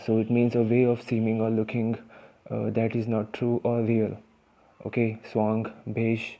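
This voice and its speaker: A man's voice: fairly low pitch, completely flat delivery, unhurried, vocal fry, warm tone. A man with an Indian accent teaching English vocabulary in a casual, hesitant way, with many fillers and restarts. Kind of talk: informal vocabulary lesson.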